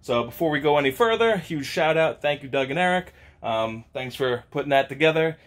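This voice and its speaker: A man's voice: a little high, fairly quick. A man in his thirties hosting a casual car-parts vlog, upbeat and chatty, recorded in his home garage workshop on a camera held at arm's length. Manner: excited, upbeat, chatty with laughs. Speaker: channel host. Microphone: handheld camera at arm's length.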